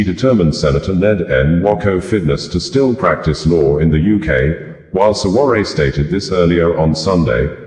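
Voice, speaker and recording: Deep-voiced male news reader, echoey room. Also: a little mellow. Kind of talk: scripted news narration. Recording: roomy, echoing voice track.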